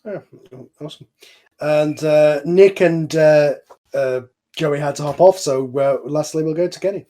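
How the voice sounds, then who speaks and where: A man's voice: fairly high pitch, measured pace, warm tone. A man hosting a casual group chat online, talking informally and with enthusiasm. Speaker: host and series creator. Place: remote online voice call.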